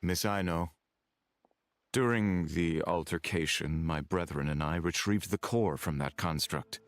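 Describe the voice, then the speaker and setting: Solemn, extremely deep-voiced courteous man. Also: no room sound. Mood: earnest, apologetic. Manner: formal, earnest, measured. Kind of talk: video game voice acting.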